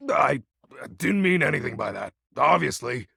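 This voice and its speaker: A man playing a melodramatic, brooding lord of darkness in a video game, with a raspy, gravelly voice and grandiose delivery. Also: mid-range voice, animated, moderate pace, sharp tone, dry recording, clean studio sound, exaggerated voice. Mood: sheepish, casual. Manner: grandiose, archaic phrasing with sudden casual asides. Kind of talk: theatrical video game voice acting.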